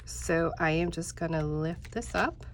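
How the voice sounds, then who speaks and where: Woman crafter in her thirties, stuffy and a little nasal, chatty and casual, lowish voice, faint hiss behind. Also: a little slow. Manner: chatty, talks straight to viewers, many fillers. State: congested, slightly nasal. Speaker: crafting tutorial host. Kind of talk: casual step-by-step craft tutorial.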